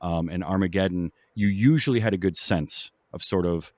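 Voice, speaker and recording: A man chatting casually about films as a podcast panelist, thoughtful and measured, building his points from examples. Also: low voice, dry recording, studio quality. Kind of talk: casual film discussion.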